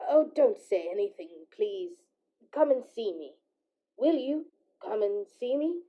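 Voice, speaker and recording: A woman's voice: fairly high pitch, expressive, unhurried, lo-fi sound. A woman playing an overjoyed, eager old reformed miser in a radio play, effusive and theatrical. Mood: humble, apologetic. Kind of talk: stage-style radio play acting.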